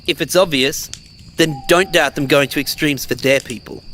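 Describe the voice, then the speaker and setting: A man's voice: fairly high pitch, normal speed, a bit bright, lightly acted. Male survivor for apocalyptic audio drama, actor pragmatic, blunt, exasperated, naturalistic delivery, faint background noise. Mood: firm. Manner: blunt, argumentative.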